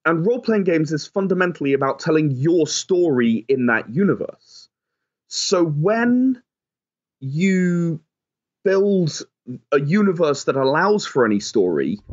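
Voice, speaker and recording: A man giving conversational, explanatory interview answers, often softening his points with "sort of". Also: on the high side, muffled recording. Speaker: game designer and writer, interview guest.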